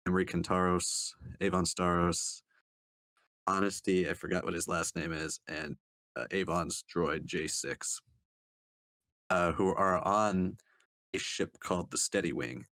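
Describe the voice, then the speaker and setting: Very deep-voiced man, hesitant book reviewer. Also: a little slow, fairly bright tone, no echo. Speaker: podcast panelist reviewing books. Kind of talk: casual book review talk.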